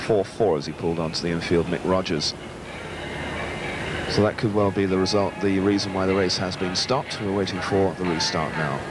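A man giving live commentary on a stock car race, calling positions and getting excited as the cars battle. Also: low-pitched, moderate pace. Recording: 1980s VHS broadcast with loud track noise.

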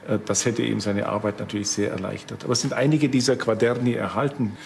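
A man's voice: on the low side, fairly even intonation, fast talker, vocal fry, darkish tone. A man speaking as an expert presenter, explaining in his own words. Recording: noisy location sound. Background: ambient noise.

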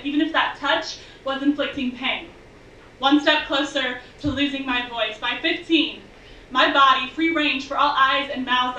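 A young woman performing spoken-word poetry on a bar stage, with measured, intense, rhythmic delivery. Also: sits high, pushed and bright, slight background noise. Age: young adult. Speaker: slam poet. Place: bar stage venue, indoors. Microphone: stage mic on stand, filmed from afar. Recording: distant, dull, echoey room recording.

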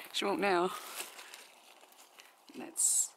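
A woman's voice: drawn-out words, fairly bright tone. A woman talking casually and affectionately to wild birds while she films in the woods. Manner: affectionate pet-talk. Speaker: birdwatching vlogger. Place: outdoors in snowy woods. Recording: outdoor camera audio with background noise.

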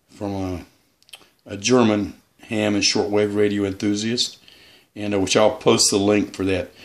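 A middle-aged man giving a casual, plainspoken how-to at home, pausing often with "uh". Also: lowish voice. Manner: plainspoken, with frequent restarts and "uh" pauses. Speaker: radio hobbyist demonstrating antennas. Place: indoors at home.

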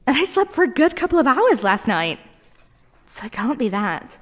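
High-pitched flustered barista girl, acted by a woman. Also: sing-song, normal speed, no echo. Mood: frustrated muttering. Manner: stammering, apologetic. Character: flustered, clumsy young female cafe barista. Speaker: audio roleplay voice actor. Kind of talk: ASMR roleplay acting.

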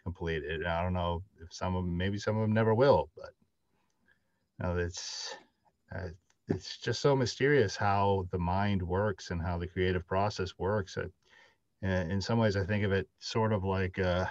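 A middle-aged man with a low voice talks casually and haltingly, musing as he goes. The sound is dry and a little dull, like a lo-fi video call. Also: no echo. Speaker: panelist, audio drama writer. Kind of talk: casual reflective panel talk. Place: small room, indoors, on a video call.

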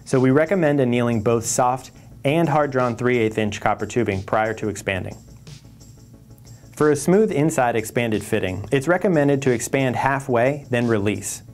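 Guy doing a how-to tool voiceover, mid pitch, some music behind. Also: moderate pace, a little mellow. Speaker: company product presenter. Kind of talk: scripted instructional narration.

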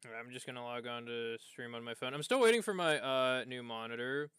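Bright, clear-voiced male game streamer. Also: mid-range voice, a little fast, no room sound, studio quality. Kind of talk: casual livestream commentary while playing a video game.

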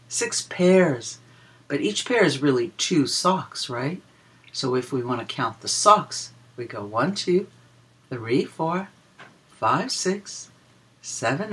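A woman reads a children's counting book aloud, slowly and with lots of swooping pitch. Her voice is a little husky, and she explains things in a deliberate, sing-song teaching manner. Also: a bit bright. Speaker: children's story reader and teacher. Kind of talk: picture-book read-aloud with counting for preschoolers.

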